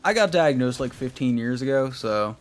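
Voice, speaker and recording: A man's voice: medium pitch, expressive, normal speed. A young man streaming a game, chatting casually with his viewers in a rambling way while he plays. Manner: rambling, talks to chat while playing.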